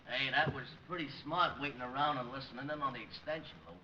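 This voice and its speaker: Hard, bright-voiced American thug. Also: male voice, mid-range voice, fast talker. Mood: callous. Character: callous, complaining male thug henchman. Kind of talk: 1940s radio crime acting. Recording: dull old radio, faint noise.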